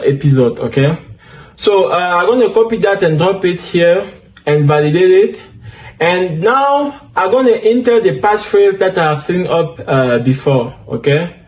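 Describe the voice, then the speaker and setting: A man's voice: fairly high pitch, a little mellow. A man with a French accent, French his first language, walking through a tech tutorial step by step and often correcting himself. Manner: talks through each step, many fillers and self-corrections. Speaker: DevOps instructor. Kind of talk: screen-share tutorial walkthrough.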